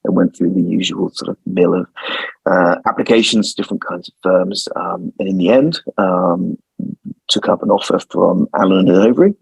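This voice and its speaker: A man's voice: deep voice, moderate pace, creaky, breathy edge, no room sound, low-quality recording. A man in his forties from London with a London accent, answering interview questions thoughtfully and often repeating words as he finds his phrasing. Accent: London English. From London, England. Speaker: guest lawyer.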